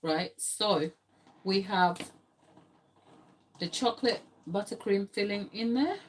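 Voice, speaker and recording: Casting: middle-aged Ghanaian-accented woman, non-native speaker, slightly low voice, informal live-stream instructor talking viewers through each step. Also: slight background noise. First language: not English. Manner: explanatory, chatty, addressing viewers. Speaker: cake decorating instructor. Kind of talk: casual live-stream tutorial. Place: home kitchen, indoors.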